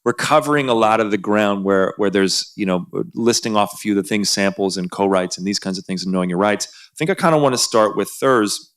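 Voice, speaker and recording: A man at a conference panel speaking in a relaxed, casual way and asking the others questions. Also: fairly low pitch, a little fast, few pauses, clean tone. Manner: relaxed, casual, checks the mic. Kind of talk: panel discussion.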